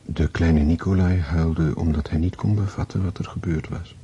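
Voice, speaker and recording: A man narrating a literary radio drama in a measured, serious, scripted manner. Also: deep voice, fairly even intonation, mellow, muffled recording.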